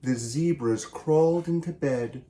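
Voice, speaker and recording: A man, a children's author, reading a picture book aloud in an animated, theatrical and emphatic way. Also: fairly high pitch, unhurried. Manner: theatrical, emphatic, with varied delivery.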